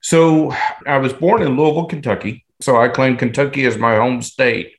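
American man in his fifties, medium pitch. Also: normal speed. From southern Indiana, United States. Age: 50s. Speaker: podcast guest, training director and researcher. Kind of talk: conversational interview answers.

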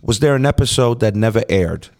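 A man co-hosting a podcast, giving relaxed advice in casual chat. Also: on the low side, fairly quick, creaky, background noise. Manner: laid-back, advice-giving, "you feel me". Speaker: podcast co-host.

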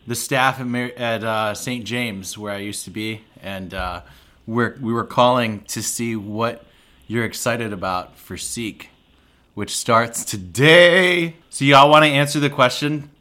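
A man's voice: mid-range voice, a little slow, bright, forceful tone. A man who is a priest hosting a podcast, talking casually with a teasing, informal manner.

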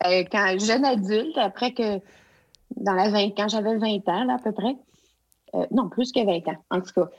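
A woman's voice: mid-range voice, moderate pace, no echo, lo-fi sound. Quebec French woman in her forties, hesitant, conversational, dry close sound. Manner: hesitant, many fillers and restarts. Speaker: guest, provincial education consultant. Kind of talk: casual interview conversation.

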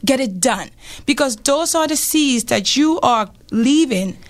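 A woman with a fairly high voice speaking as a panel guest. Her delivery is motivational and emphatic, with phrases repeated to drive them home. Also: expressive, unhurried. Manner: emphatic, repetitive phrasing for effect.

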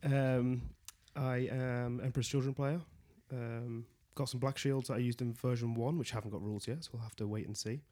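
New guy on the podcast, mid-pitched, softly spoken and unsure. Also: fairly even intonation, normal speed, few pauses. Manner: hesitant, quiet, many ums. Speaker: podcast guest, newest member. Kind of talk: casual group podcast chat.

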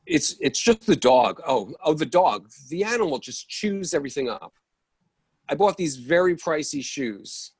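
A man's voice: on the high side, very animated, a little mellow, slightly rough, heavily acted. A man reading the part of an impatient character who loses patience easily and needs his coffee, in a staged play reading. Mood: bickering. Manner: exasperated, quick to lose patience. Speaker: reader in a readers theatre play.

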